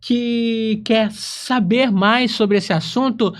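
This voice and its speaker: High-voiced Brazilian man hosting. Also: steady tone, slow pace. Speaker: podcast host. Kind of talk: casual podcast chat.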